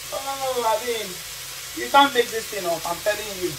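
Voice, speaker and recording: A middle-aged woman from Nigeria with a Nigerian accent, cooking in her home kitchen while talking passionately to the camera, asking rhetorical questions and repeating herself for emphasis. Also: medium pitch. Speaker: vlogger. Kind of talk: cooking vlog with social commentary. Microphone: camera on the counter. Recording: frying noise behind voice.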